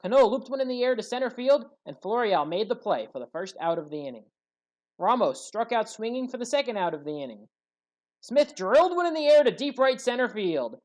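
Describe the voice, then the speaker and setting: A man narrating scripted play-by-play sports highlights as a commentator, with dramatic emphasis. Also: very animated, fairly quick.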